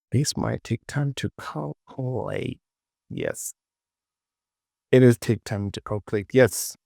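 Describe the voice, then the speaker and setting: A man hosting a casual software tutorial, thinking aloud and muttering repetitively to himself as he works. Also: lowish voice, gravelly, no echo, clean studio sound. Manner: muttering, repetitive self-talk. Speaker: 3D modeling tutorial host.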